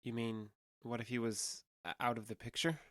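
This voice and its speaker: A man's voice: mid-range voice, flat delivery, normal speed, fairly bright tone, no echo, studio quality, natural voice. Earnest young alien fugitive played by a man. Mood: uneasy, hesitant. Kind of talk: audio drama acting, naturalistic dialogue.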